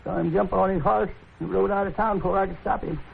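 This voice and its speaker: A man's voice: sits high, steady tone, fast talker, gravelly. A man playing a proud, bitter old American plainsman in a radio western, speaking in an aggrieved, defiant, folksy way. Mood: bitter accusation. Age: older. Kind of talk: 1950s radio western acting. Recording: tinny, noisy 1950s radio recording.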